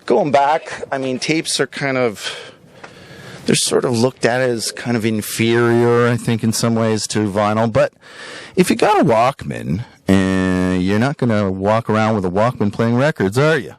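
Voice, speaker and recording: A man hosting a podcast in a casual, rambling way, showing items for sale with drawn-out emphasis and frequent tag questions. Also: medium pitch, animated, no echo. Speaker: podcast host and record shop seller. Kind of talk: casual rambling product showcase.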